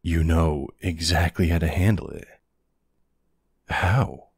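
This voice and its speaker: Guy playing gruff but tender older military bf, asmr roleplay, slow n gravelly, super close dry sound. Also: low voice, fairly even intonation, no room sound, heavily acted. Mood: affectionate. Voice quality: gravelly, husky. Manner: slow, hesitant, soothing. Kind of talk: intimate ASMR boyfriend roleplay.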